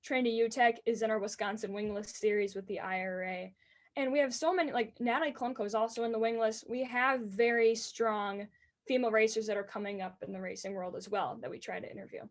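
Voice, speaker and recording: An eighteen-year-old woman with a high-pitched voice, earnestly answering interview questions about racing announcing. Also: steady tone. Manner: earnest and enthusiastic, quick bursts.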